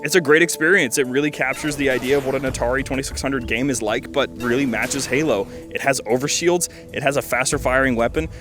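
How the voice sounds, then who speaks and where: A man's voice: medium pitch, fast talker, few pauses, over music. A man giving casual, enthusiastic video game commentary as a host, stressing points by repeating words.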